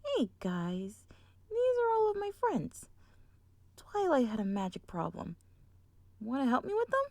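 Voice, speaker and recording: Smirking little boy dragon, voiced by a woman reader, swinging pitch, dry close sound. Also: huge pitch swings, measured pace, darkish tone, no echo, exaggerated voice. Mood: smug. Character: young male cartoon dragon, smirking and cocky.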